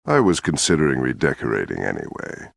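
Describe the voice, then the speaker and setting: A man's voice: extremely low pitch, wildly swooping pitch, fairly quick, creaky, no room sound, studio quality, exaggerated voice. A man playing a smug, sarcastic villain tycoon in a video game. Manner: sarcastic, suave, condescending.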